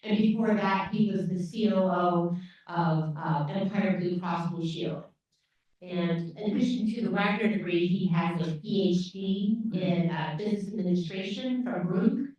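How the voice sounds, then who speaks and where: A woman's voice: lowish voice, moderate pace, darkish tone. Woman moderating in a very echoey hall. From grew up on a dairy farm. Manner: hesitant, many fillers. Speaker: panel moderator. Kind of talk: introducing panelists at a live event. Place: large echoey lecture room. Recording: distant echoey hall audio, dull.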